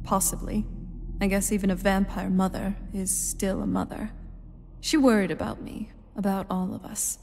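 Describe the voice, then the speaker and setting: An actress playing a thoughtful, guarded vampire noblewoman in a fantasy game, soft-spoken, measured and sincere. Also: mid-range voice, steady tone, vocal fry, natural voice. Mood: wistful. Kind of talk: scripted fantasy game dialogue. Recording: reverberant stone hall, background noise.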